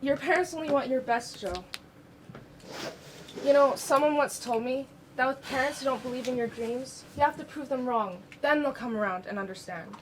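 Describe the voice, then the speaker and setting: Caring young student friend woman acting, high-pitched. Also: moderate pace, a little hiss or hum, natural voice, poor audio. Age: teenager or young adult student performer. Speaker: student stage actor. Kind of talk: amateur stage play acting.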